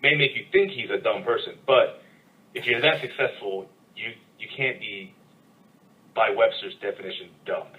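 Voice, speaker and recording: A man co-hosting a podcast, talking casually and setting out his opinions in a measured way. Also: on the low side, recorded from a distance, narrow, tinny audio. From United States. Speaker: podcast co-host. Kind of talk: casual chat.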